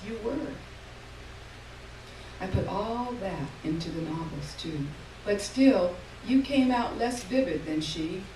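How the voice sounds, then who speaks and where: A woman reading a literary short story aloud at a live event, expressive, with emphasis on key words and pauses between short phrases. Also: medium pitch. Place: live stage reading in a room. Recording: roomy, distant mic, faint noise.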